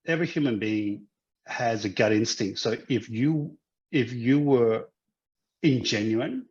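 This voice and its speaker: Male guest, hesitant, lots of restarts, mid pitch, muffled lo-fi recording. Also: measured pace. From Hong Kong, raised in Australia. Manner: hesitant, many restarts and fillers. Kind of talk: casual interview conversation.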